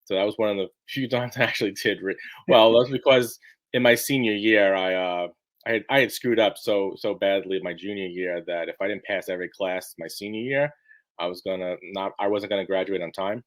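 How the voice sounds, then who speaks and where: A man being interviewed, chatting casually in a halting, rambling way and often restarting his sentences. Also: sits low, fairly even intonation. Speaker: book editor being interviewed.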